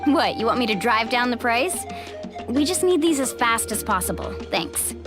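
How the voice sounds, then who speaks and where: A woman's voice: on the high side, big pitch swings, moderate pace, music in the background. A woman voicing an earnest, determined young traveling adventurer in a video game. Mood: brisk, polite.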